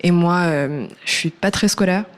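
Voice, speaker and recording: Low-voiced young woman, casual and hesitant. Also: measured pace. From born in New York, French-American. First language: English. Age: early twenties. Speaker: musician, singer guest. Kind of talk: casual radio interview chat.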